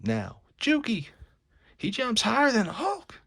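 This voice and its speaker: A rough carnival henchman put on by a man in a comic-book tale. He barks slang excitably, his voice pushed up high and swinging widely. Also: measured pace, no echo, clean studio sound, exaggerated voice. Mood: alarmed.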